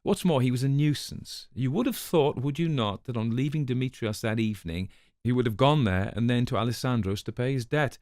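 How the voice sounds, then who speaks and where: A man's voice: medium pitch, brisk, a little mellow, no echo, studio quality, pitched above the performer's own voice, lightly acted. A man voicing a callous, haughty spymaster with formal, precise, disdainful phrasing in a dramatic story reading. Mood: callous, scornful. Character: callous, haughty male spymaster, coolly dismissive.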